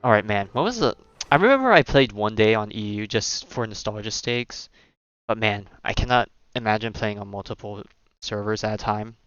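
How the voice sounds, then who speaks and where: A man's voice: on the low side, lively intonation, normal speed, fairly bright tone, smooth, dry recording, highs cut off. A man giving casual livestream game commentary, rambling and thinking aloud in a hesitant way. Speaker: game streamer.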